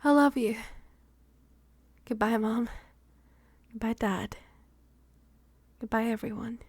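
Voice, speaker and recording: A woman playing a tender, excitable young woman in an improvised ASMR roleplay, hesitant and soft, slipping into whispers. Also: fairly high pitch, slow pace, dry recording. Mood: wistful farewell. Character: young woman nervously leaving her hometown, tender and excitable.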